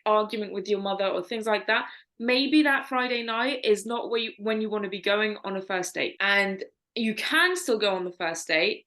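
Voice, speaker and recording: A woman's voice: on the high side, a bit bright. A woman co-hosting a podcast, giving earnest, chatty dating advice. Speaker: podcast co-host. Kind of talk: casual chat.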